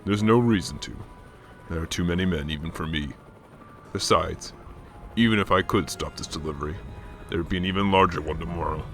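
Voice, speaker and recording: A man acts out a calm, brooding crime-fighter in a radio drama. His voice is very low and forceful, delivered deadpan. Faint music plays behind him. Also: fairly quick, long pauses. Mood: calm, resolute. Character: calm, brooding male vigilante hero. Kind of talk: radio drama acting and narration.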